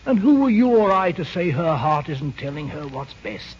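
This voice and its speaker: A man's voice: on the high side, very animated, moderate pace, husky. An actor playing an earnest, kindly old doctor in a radio drama, emphatic and persuasive in his pleading. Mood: grave reproach. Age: older. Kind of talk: 1930s-40s radio drama acting. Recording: dull, noisy old radio broadcast.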